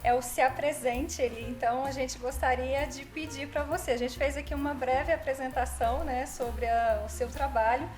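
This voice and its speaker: Woman hosting a podcast, high bright voice, soft music under it. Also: steady tone, normal speed, hardly any pauses. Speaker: podcast host.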